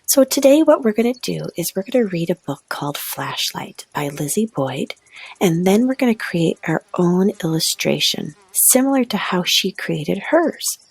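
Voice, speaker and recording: A woman with a soft-edged, mellow and breathy voice that often creaks at the ends of phrases. She speaks as an elementary art teacher, guiding young children through a picture book and prompting them to look closely. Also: lowish voice, no room sound. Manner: guiding, prompts listeners with questions.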